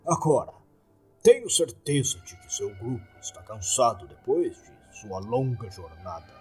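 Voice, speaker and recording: A formal, authoritative hotel owner, played by a man in a horror audio tale. His Brazilian voice is husky and obliging, with lively pitch over background music. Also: a little slow, heavily acted, poor audio. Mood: courteous.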